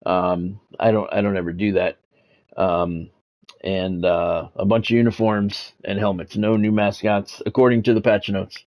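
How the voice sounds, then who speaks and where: A man's voice: lowish voice, little pitch movement, measured pace, a little mellow. A middle-aged man streaming a sports video game, talking casually and commentating in a blunt, sardonic way. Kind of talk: casual livestream chat with sports play-by-play commentary.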